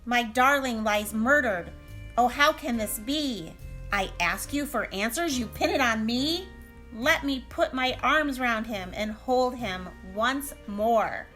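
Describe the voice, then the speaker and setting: An actress playing a dramatic, lovelorn mistress who speaks in pointed, theatrical rhyme in a comic audio drama. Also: female voice, a little high, lively intonation, slow, sharp tone, faint music behind, full character voice. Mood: grieving, indignant.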